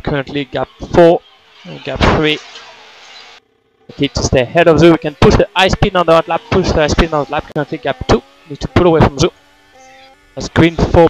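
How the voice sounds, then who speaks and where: A man's voice: on the high side, fairly even intonation, normal speed, pauses often. A man working as a race engineer, giving terse, repeated instructions in a clipped manner over team radio. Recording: noisy motorsport team radio.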